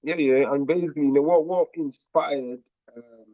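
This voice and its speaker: A young man from Nigeria, a guest musician, chatting in a relaxed, slangy way and laughing often. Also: on the high side, moderate pace, no room sound, lo-fi sound. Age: young adult. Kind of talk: casual interview chat.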